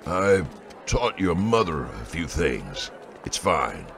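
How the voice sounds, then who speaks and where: Gravelly, low-voiced veteran soldier father. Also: wildly swooping pitch, very slow, a bit bright, slight background noise, exaggerated voice. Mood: gruff coaxing. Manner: commanding, blunt, coaching. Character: gruff older soldier father, stern but loving husband. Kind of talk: audiobook drama acting.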